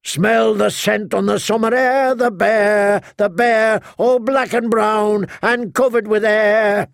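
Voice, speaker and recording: A man voicing a boisterous court fool who belts out a ballad in a loud sing-song, in an audiobook. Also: sits high, steady tone, slow, creaky, dry recording, clean studio sound, voice pushed up, exaggerated voice.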